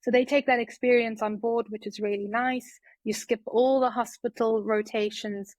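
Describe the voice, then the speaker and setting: A woman's voice: on the high side, steady tone, normal speed, darkish tone, no echo. South African woman in her 30s, chatty interview guest, loose and conversational, dry close sound. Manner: chatty, many fillers and restarts. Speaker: guest doctor. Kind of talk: casual interview talk.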